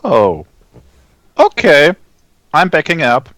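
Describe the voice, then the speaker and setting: A man's voice: on the high side, huge pitch swings, slow pace, fairly bright tone, dry recording. A man chatting as a player in a tabletop game, repeating phrases as he describes what his character does. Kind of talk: casual game chat.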